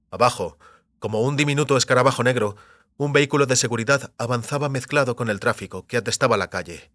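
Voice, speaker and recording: A man's voice: mid-range voice, fairly bright tone, no room sound. A man reading scripted literary narration as the narrator of an audio fiction story.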